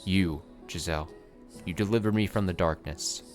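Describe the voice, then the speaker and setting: A man voicing a deep, solemn doomed knight. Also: moderate pace, soft background music, lightly acted. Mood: tender. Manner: solemn, tender, at times shaky. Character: tormented young male heretic knight, tender and resigned. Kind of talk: dramatic reading of a story.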